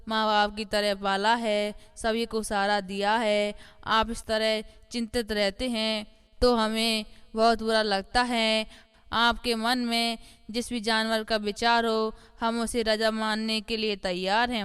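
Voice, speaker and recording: A woman's voice: a little high, fairly bright tone, clean tone, no room sound. A woman voicing a respectful, pleading goat in a cartoon moral story. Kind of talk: animated moral story acting.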